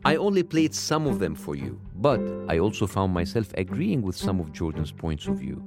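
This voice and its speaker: Lively accented male podcast host. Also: normal speed, over loud music. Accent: foreign-accented English. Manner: animated, wry, pitch jumping up for emphasis. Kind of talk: scripted podcast narration.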